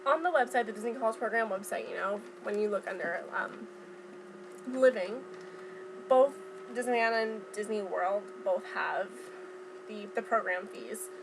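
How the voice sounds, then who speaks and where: Young woman, casual vlog, noisy recording. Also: medium pitch. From Southern California. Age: young adult. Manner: informal, halting as she recalls. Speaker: vlogger. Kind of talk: casual chat vlog, recounting from memory.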